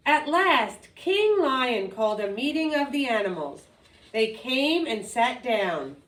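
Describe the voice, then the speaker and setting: A woman reading a folktale aloud to children, expressively, deliberately and with dramatic emphasis. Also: fairly high pitch. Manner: deliberate, dramatic, with sound effects and repetition. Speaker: storyteller. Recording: roomy echo, distant microphone.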